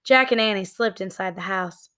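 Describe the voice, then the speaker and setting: A woman narrating a children's book in a deliberate, expressive storytelling manner. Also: mid-range voice. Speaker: read-aloud storyteller.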